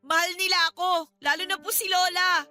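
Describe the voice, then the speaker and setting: Piercingly bright, pleading Filipino daughter. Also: female voice, very high voice, steady tone, a little fast, faint music behind, heavily acted. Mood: insistent. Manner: pleading, earnest, wounded. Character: hurt, pleading young student daughter, respectful but insistent. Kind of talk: Filipino radio drama acting.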